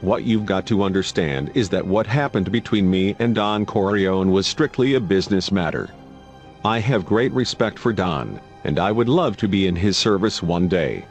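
A man playing a gangster in film dialogue, speaking in a calm, measured way. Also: sits low, soft background music.

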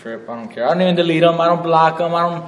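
A man's voice: a little high, very fast, a little hiss or hum. A male boxer talking casually and shrugging off online critics, repeating himself as he goes. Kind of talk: casual gym chat. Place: large boxing gym, indoors. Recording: echoey gym hall.

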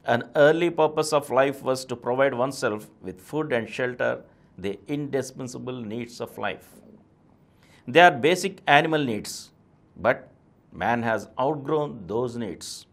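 A middle-aged man with an Indian accent, reading a scripted text aloud in a formal, deliberate way, phrase by phrase. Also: medium pitch, vocal fry. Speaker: presenter reading answers aloud. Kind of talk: scripted reading of a question-and-answer essay.

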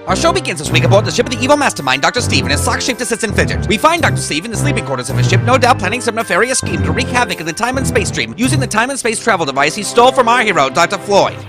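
An actor playing a breathless, melodramatic narrator in the style of an old-time radio serial. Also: male voice, high voice, big pitch swings, very fast, hardly any pauses. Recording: loud music behind the narration.

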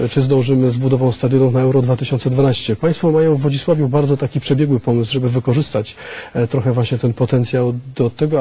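A man interviews a guest in a TV studio, his voice husky and mellow. He pauses often, fills gaps with hesitations and restarts his questions. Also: medium pitch. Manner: hesitant, many fillers and restarts. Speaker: TV host.

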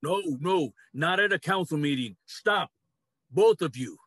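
A man's voice: fairly high pitch, expressive, measured pace, fairly bright tone, slightly rough, no echo, studio quality, heavily acted. An older man playing a grave animal council member in a dramatic play reading, emphatic and formal. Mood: alarmed. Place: cluttered home room, video call.

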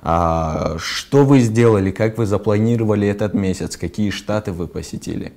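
A young male morning-show host with a very low voice asks his guest questions in a TV studio. His tone is dark and mellow, and his questions come briskly and brightly. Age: young adult. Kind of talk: TV studio interview. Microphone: lapel.

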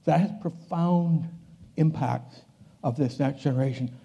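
Older man, very dark tone, slightly high pitch, keynote talk, conversational restarts, large hall stage. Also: slow pace, vocal fry, slightly rough, dull audio. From Canada. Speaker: keynote speaker. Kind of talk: conference keynote lecture.

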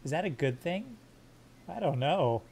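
A man streaming a game live, joking crudely with his chat in a fairly monotone voice while sorting his squad's gear. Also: on the high side, measured pace, vocal fry, slightly rough. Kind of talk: live gameplay commentary.

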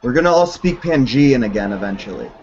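A man's voice: medium pitch, lively intonation, normal speed, husky. A young man hosting a casual video call chat from a dim room at home, laid-back and laughing often. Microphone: webcam on a video call. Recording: dull lo-fi video call audio.

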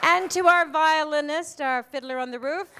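A woman's voice: sits high, normal speed. A mature woman hosting on stage, addressing an audience and introducing performers through a handheld microphone. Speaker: event host and playwright thanking the cast. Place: conference stage. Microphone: handheld mic. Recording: live hall, faint crowd and noise.

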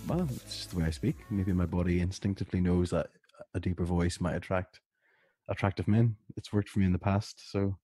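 A man's voice: deep voice, steady tone, a little fast, dark tone, husky, no room sound, soft background music. A tired man co-hosting a podcast, laid-back and joking in casual chat. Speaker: podcast co-host.

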